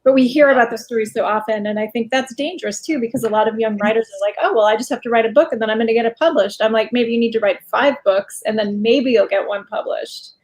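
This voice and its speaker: A woman's voice: a little high, fast talker, hardly any pauses, darkish tone. A woman speaking as a guest writer and teacher in a casual interview, candid and anecdotal, acting out quotes as she goes. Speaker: guest short story writer and writing teacher.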